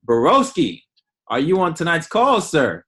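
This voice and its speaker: A man's voice: fairly high pitch, very animated, measured pace, fairly bright tone. A man hosting a sales training call, formally introducing the next speaker. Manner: formal, announcing.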